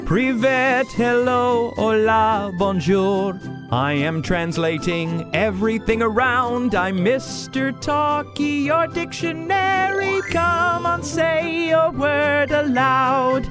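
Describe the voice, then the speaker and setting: A woman voicing a friendly, eager talking dictionary in a children's cartoon, announcing translations in a deliberate, instructive way. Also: high voice, over music. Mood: eager greeting.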